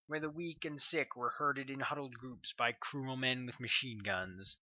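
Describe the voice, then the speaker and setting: A man narrating his own novel in a measured audiobook reading, at a middle pitch. The recording is dry, with a slightly dull, muffled top end. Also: no room sound.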